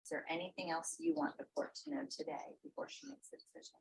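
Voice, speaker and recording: A woman's voice: fairly low pitch, animated, quick pace, creaky, a little mellow, a little hiss or hum, low-quality recording. An American woman working as a defense attorney, questioning formally in a courtroom.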